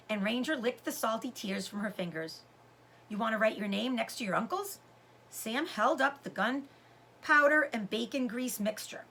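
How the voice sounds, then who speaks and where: A woman reading a children's storybook aloud like a teacher, with deliberate, dramatic phrasing. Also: fairly high pitch, gravelly, fairly bright tone, a little hiss or hum. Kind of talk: expressive children's storybook narration.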